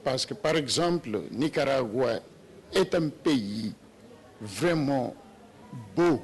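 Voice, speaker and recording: A man in his sixties from Nigeria, a papal nuncio, answering interview questions slowly with many hesitations and restarts. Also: mid-range voice, lively intonation. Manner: slow and hesitant with many "euh" fillers and restarts. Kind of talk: slow, halting interview answers.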